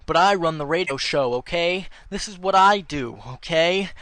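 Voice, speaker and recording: A man's voice: on the high side, moderate pace, a bit bright, no room sound. A man playing a petulant, scatterbrained internet radio host in a comedy sketch, distracted, childish and defensive. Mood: indignant.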